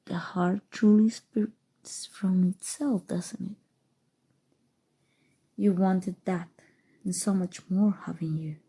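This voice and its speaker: Woman voicing an evil sorceress, very dark soft tone, slow delivery, obsessively tender, intimate ASMR roleplay. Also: on the low side, fairly even intonation, full character voice. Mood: tender, coaxing. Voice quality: breathy, soft, often dropping to whispers. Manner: slow, soothing, deliberate, sinister affection.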